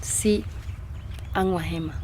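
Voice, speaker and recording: An actress playing Juliana, an earnest rural wife in a radio drama. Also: female voice, on the low side, fairly even intonation, slow pace, darkish tone, a little hiss or hum. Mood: worried.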